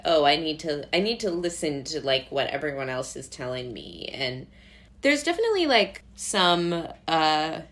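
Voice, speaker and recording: A woman's voice: low-pitched, fairly bright tone. A young American woman chatting casually as a podcast guest, rambling through anecdotes and quoting imagined remarks. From Brooklyn, New York, USA. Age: young adult. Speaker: podcast guest, playwright and actor. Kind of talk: casual chat.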